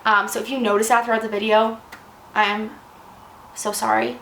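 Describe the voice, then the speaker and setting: Chatty young woman, a bit raspy. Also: fairly high pitch, little pitch movement, normal speed, a bit bright. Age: 20s. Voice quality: slightly raspy from a cold. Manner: chatty, rambling, self-deprecating. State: fighting off a cold. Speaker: vlogger. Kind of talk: casual chat. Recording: echoey room with faint noise.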